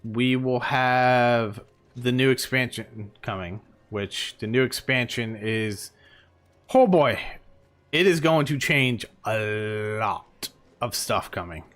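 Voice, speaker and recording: A man's voice: mid-range voice, slow pace, clear, resonant tone. A man streaming a game live, chatting casually and rambling with plenty of fillers, tired and losing his voice.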